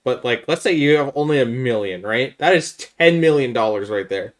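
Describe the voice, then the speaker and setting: A man's voice: mid-range voice, lively intonation, moderate pace. A man hosting a casual podcast chat about video games, making his points in an informal, persuasive way. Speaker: podcast host.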